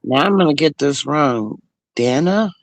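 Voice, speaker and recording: Man, very slow talker, hesitant, rambling, fillers, dry sound. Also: a little high, lively intonation, gravelly, no echo. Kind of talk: casual chat.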